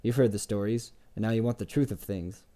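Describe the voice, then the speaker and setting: A man's voice: lowish voice, normal speed, mellow, natural voice. A man voicing a guarded, sarcastic innkeeper in an audiobook, curt and cool in his commanding way of talking. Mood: guarded, probing.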